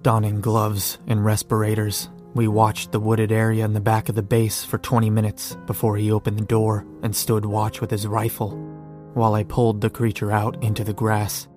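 A man's voice: on the low side, monotone, moderate pace, over music, natural voice. A man playing a weary, sardonic survivor narrating a zombie apocalypse diary in an audio drama. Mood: grim.

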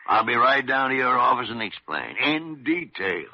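Gravelly old meddling physician, blustery, animated delivery, dry muffled vintage radio sound. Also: male voice, mid-range voice, normal speed, very noisy. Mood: sly. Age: older. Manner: blustering, grumbling, sly. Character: crotchety, meddling old male senior hospital doctor. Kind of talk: old-time radio drama acting.

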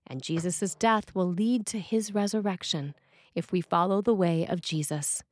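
A woman reading a scripted sermon in a measured, deliberate way. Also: on the low side, a little mellow, no room sound, clean studio sound. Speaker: sermon reader.

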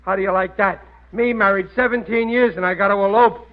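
An actor playing a good-natured, gullible, middle-aged working-class American husband who blusters ungrammatically in a 1940s radio comedy. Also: male voice, sits high, fairly even intonation, brisk, natural voice. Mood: bewildered. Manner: blustery, ungrammatical everyman talk. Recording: muffled vintage radio recording.

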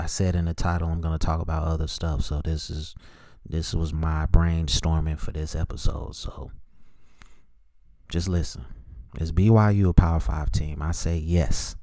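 A middle-aged man hosting a solo podcast, giving his sports opinions in an informal, measured, opinionated way. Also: rumbling low, mellow, no echo, clean studio sound. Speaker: podcast host.

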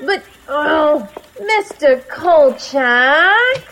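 An eager, naive young intern, played by a woman, hesitant and slow-spoken, her fairly high voice rising and falling a lot. Faint noise sits behind her, as in an amateur radio play. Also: animated, heavily acted.

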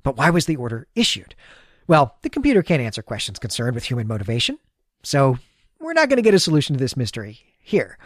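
Man with a lively, swooping delivery. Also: medium pitch, fast talker, no room sound, studio quality. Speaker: podcast host. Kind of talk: conversational recap of a story.

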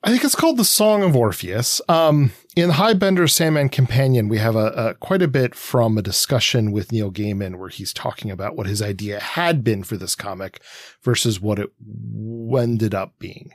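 A man co-hosting a podcast in a conversational, analytical way, halting and circling as he thinks aloud. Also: fairly low pitch, no room sound, clean studio sound. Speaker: podcast co-host. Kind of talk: conversational comics analysis, sometimes reading quotes.

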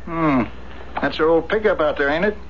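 An actor playing a chatty, nosy small-town feed store clerk in a 1970s radio drama, folksy and stammering. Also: male voice, fairly high pitch, normal speed, close to an everyday voice. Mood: nosy, friendly. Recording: very noisy, dull 1970s radio recording.

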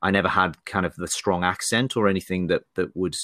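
Young guy, deep voice, kinda mixed british accent w a bit of geordie, lots of ums and restarts, dry sound. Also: fairly even intonation, a little fast, sharp tone, no room sound. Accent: mixed British, faint Geordie. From Northeast England. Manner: hesitant, many restarts and fillers. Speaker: podcast guest, lawyer. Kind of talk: casual interview chat.

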